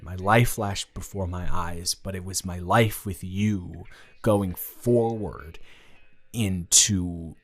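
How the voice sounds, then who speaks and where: A man playing an earnest, nervous teenage boy in love, speaking in a halting, stammering, rambling way in an intimate romantic audio roleplay. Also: medium pitch, lightly acted. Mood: earnest, tender. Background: music.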